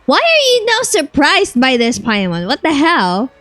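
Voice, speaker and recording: A woman streamer reacting casually to a video game. Her voice is on the high side and swings widely in pitch, with blunt, slangy wording. Quiet music plays behind her. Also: huge pitch swings, measured pace, dry recording.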